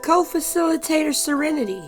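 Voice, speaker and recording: A woman playing a dutiful, matter-of-fact army corporal with a deliberate delivery in a radio play. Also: sits high, lively intonation, faint music behind. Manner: deliberate, obedient.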